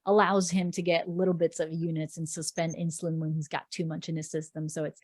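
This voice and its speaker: A woman in her thirties, a native Portuguese speaker, answers questions in a loose, chatty flow with plenty of ums. Her voice sits low for a woman, and the sound is very dry and close. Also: level tone, brisk, a little mellow, no echo. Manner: chatty and rambling, frequent ums. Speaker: sports reporter guest. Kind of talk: casual podcast interview.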